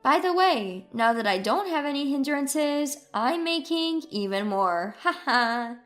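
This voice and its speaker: A mocking, cutting ex-wife from a dramatized story, acted by a woman narrator. Her voice is high and swoops with indignation. Faint music sits underneath. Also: expressive, a little slow, fairly bright tone. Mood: smug. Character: scornful, newly independent ex-wife.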